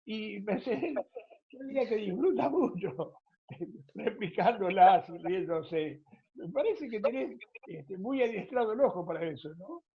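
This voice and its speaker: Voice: medium pitch, expressive, a little slow, vocal fry, muffled recording. An interviewer with an Argentine accent, hosting a conversational radio interview. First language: Spanish.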